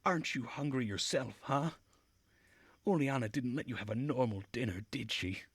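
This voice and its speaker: A man's voice: medium pitch, moderate pace, breathy edge, no echo, voice pushed up. A man voicing a blunt, sarcastic teenage girl troublemaker in a visual novel. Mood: bossy, sarcastic.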